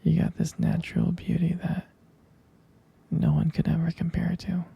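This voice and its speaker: A person whispering intimately and close to the microphone in a romantic ASMR roleplay as a tender, adoring vampire lover, with hesitant pauses. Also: medium pitch, huge pitch swings, muffled recording. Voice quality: breathy, husky whisper. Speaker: ASMR performer playing a loving partner.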